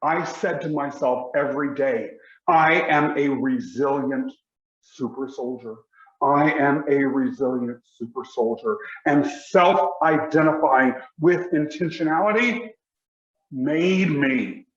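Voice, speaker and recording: Emphatic slow-paced motivational speaker, man. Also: mid-range voice, a bit bright, echoey room, dull audio. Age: 40s. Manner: emphatic, slow deliberate delivery with dramatic pauses. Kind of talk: motivational speech.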